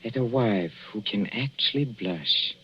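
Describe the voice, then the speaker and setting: An actor playing a middle-aged foreign professor husband with a Central European accent, cooing and quietly menacing in a 1940s radio suspense drama. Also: male voice, fairly low pitch, expressive, slightly rough. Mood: cloying, needling. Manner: cooing, cloying, deliberate. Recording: dull, noisy old radio recording.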